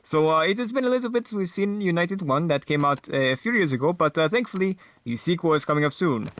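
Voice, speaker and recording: A man voicing a fussy, bumbling, eager talk show host who speaks haltingly and repeats himself. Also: on the high side, animated, a bit bright.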